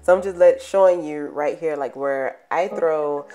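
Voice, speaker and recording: A woman's voice: low voice, a little slow, mellow. A young adult woman, chatting casually and informally for a vlog in a bedroom at home. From United States. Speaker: vlogger.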